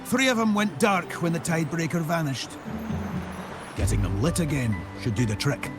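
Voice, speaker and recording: An actor playing an eccentric, jovial old seafaring captain in a video game, gravelly and folksy. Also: male voice, a little high, moderate pace, over music. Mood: folksy, upbeat. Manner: folksy, animated, swooping delivery. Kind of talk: video game character acting.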